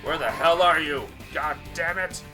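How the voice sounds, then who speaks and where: A man voicing an angry, stubborn young brawler in a visual novel, gruff and taunting. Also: on the high side, a little slow, music in the background, natural voice. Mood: furious.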